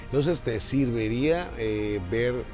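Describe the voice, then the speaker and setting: A man's voice: medium pitch, takes it slowly, music in the background. A man in his twenties hosting an informal tutorial, explaining step by step with repeated points and rhetorical questions. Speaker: video host teaching voice creation for dubbing.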